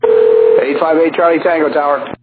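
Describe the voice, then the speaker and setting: A man's voice: fairly high pitch, fairly even intonation, normal speed, narrow, tinny audio. An American man working as an air traffic controller, giving procedural radio instructions with some hesitation. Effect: aviation radio. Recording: noisy, band-limited aviation radio transmission.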